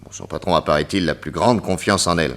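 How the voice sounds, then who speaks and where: An actor playing a self-assured private detective in a French radio drama, brisk, methodical and authoritative. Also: male voice, low voice, fairly bright tone. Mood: deliberate, reasoning.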